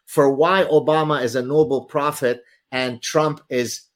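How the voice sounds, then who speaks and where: Guy w arabic as first language, mid-pitched voice, lecturing away, theatrical and animated. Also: measured pace. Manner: lecturing, theatrical, uses vivid examples. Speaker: author guest. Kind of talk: animated explanatory talk.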